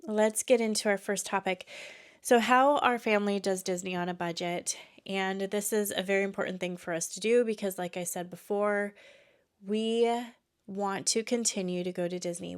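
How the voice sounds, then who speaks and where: A woman's voice: medium pitch, clean tone. A woman in her thirties from Texas, congested from allergies, hosting a podcast in a chatty way with frequent fillers and restarts. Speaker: podcast host. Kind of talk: casual chat.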